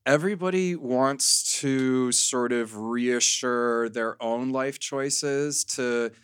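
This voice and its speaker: A man's voice: mid-range voice, takes it slowly, fairly bright tone, smooth, no echo, clean studio sound. A man speaking as a podcast guest, telling things candidly and casually in an interview chat. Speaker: porn actor.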